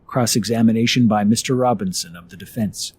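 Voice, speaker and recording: A man's voice: fairly low pitch, fairly quick, darkish tone, slightly rough, no echo. A man giving scripted narration for a dramatized court transcript.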